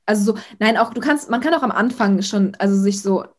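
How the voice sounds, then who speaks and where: A young woman, an influencer, chatting casually in an interview, outgoing and talking in quick bursts with many fillers. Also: medium pitch. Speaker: influencer guest.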